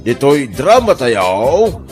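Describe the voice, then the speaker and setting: Slow dramatic radio drama narrator guy, huge pitch swings, music behind him. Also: wildly swooping pitch, darkish tone.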